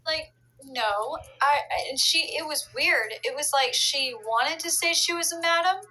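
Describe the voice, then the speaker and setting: A woman casually recounting a spiritual session in a chatty, animated way. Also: high voice, measured pace, rough recording. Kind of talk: casual storytelling to camera.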